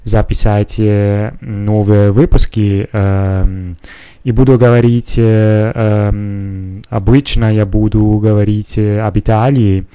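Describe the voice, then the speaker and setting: A man's voice: lowish voice, flat delivery, slow pace, warm tone, clean tone, no echo. A man from Italy with an Italian accent, a non-native speaker hosting a solo podcast, talking hesitantly with many filler sounds. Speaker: podcast host. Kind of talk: solo podcast monologue in a learned language.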